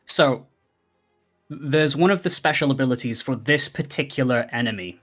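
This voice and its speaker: A man's voice: medium pitch, moderate pace, a bit bright, soft background music. A man running a tabletop fantasy game as game master, talking casually and narrating to the players.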